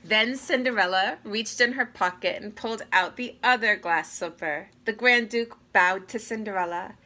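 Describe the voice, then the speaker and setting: A woman reading a fairy tale aloud to children with deliberate, expressive phrasing. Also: medium pitch. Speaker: read-aloud storyteller. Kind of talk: expressive children's story narration. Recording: clear background noise.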